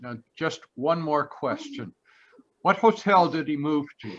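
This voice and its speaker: A man playing a wry, blunt private detective in a 1940s radio mystery, with a deadpan, wisecracking delivery. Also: on the high side, a little slow, slight background noise. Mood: brisk questioning. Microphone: video call. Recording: dull lo-fi video call audio.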